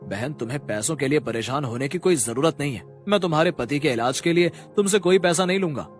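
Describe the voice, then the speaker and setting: A man playing a calm, kindly hospital doctor in a cartoon moral story. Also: fairly high pitch, quick pace, soft background music, close to an everyday voice. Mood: reassuring.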